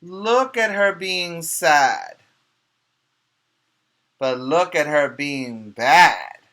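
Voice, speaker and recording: A male preschool teacher reads a picture book aloud in a bright, forward voice. He takes his time, stressing key words for young listeners. His delivery is expressive and explanatory, with questions put to the children. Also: on the high side, very slow.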